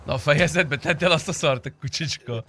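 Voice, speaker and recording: Bright-voiced young man swearing while gaming. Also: on the high side, a little hiss or hum. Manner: crude, swearing, teasing. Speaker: streamer playing a roleplay game. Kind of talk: casual gaming banter. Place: indoor room, streaming setup.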